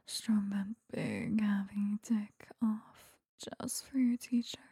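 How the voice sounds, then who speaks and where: Breathy whispering woman, slow and commanding. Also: medium pitch, dark tone, no echo, clean studio sound. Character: domineering female teacher. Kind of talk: whispered audio roleplay.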